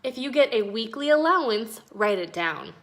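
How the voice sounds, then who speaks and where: A woman's voice: a little high, lively intonation, normal speed. A woman voicing a helpful, instructive uncle who guides children in a storybook. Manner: instructive, encouraging. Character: helpful, wise uncle teaching children about money.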